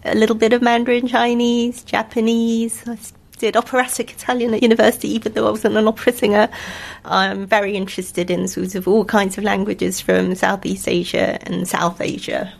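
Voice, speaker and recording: A woman whose first language is Korean, a linguist answering interview questions in quick, chatty speech. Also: medium pitch, flat delivery, vocal fry. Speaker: broadcaster's pronunciation linguist.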